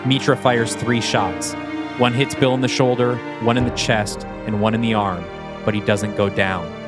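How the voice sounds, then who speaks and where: A man's voice: lowish voice, steady tone, normal speed. A man reading scripted, screenplay-style narration for an audio play. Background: background music.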